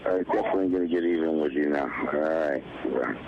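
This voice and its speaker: A man shouting furiously in an angry, threatening phone message, played from tape. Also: a bass voice, brisk. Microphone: telephone. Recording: muffled phone tape, loud background noise.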